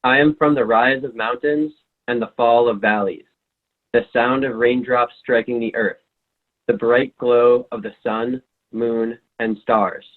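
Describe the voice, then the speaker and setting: A man reciting lines of a group poem in a steady, earnest way. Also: medium pitch, unhurried, narrow, tinny audio.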